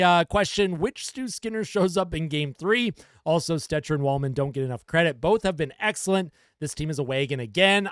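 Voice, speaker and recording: A young man hosting a casual post-game hockey fan show, reading out comments with animated enthusiasm while losing his voice. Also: a little high, no echo, studio quality. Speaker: fan reaction show host.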